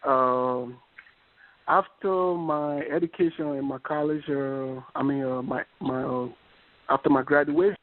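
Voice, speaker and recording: A middle-aged man with a Liberian accent introducing himself formally and deliberately as a party official on a call-in show. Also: medium pitch, steady tone. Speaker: political party official, guest. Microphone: telephone. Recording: thin narrow phone line.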